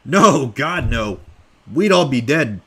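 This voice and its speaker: A man's voice: mid-range voice, lively intonation, slow pace, breathy edge. A young man casually answering fan questions at home, rambling with lots of fillers. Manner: rambling with many fillers, offhand. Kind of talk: casual live Q&A.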